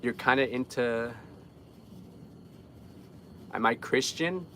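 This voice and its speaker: A man's voice: medium pitch, slow, pushed and bright, slight background noise. A young man from Guatemala chatting casually with live viewers in an earnest, rambling, informal way. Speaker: live streamer.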